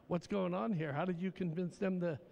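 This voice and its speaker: A man's voice: sits high, steady tone, fairly quick, gravelly, darkish tone, muffled recording. An older man from Pennsylvania hosting a live telethon, chatting folksily with plenty of fillers. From Tamaqua, Pennsylvania. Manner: folksy, hesitant with many "uh" fillers. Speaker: telethon host. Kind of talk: live TV interview.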